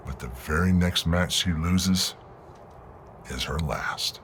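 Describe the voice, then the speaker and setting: A fairly deep man as a wrestling general manager in a video game. He is firm and businesslike, with an official, measured delivery over faint noise. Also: a bit bright. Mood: unyielding. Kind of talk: scripted game cutscene dialogue.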